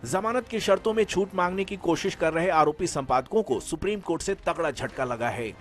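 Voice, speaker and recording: A man's voice: on the high side, a little fast. A man narrating a scripted news report as a reporter. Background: background music.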